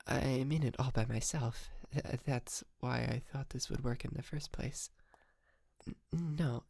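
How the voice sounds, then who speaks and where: A man playing a polite, sheepish, wealthy young yandere kidnapper in an ASMR roleplay, speaking softly and hesitantly with stammers and breathy whispered moments. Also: mid-range voice, fairly even intonation, a little slow, dry recording, studio quality. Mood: sheepish, confessing.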